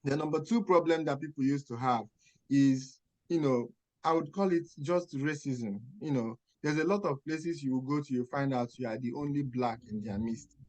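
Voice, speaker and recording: Nigerian man, nurse mentor, emphatic, asks rhetorical questions, video call audio, mid-pitched voice. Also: moderate pace, darkish tone, poor audio. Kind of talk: advice talk on a video call.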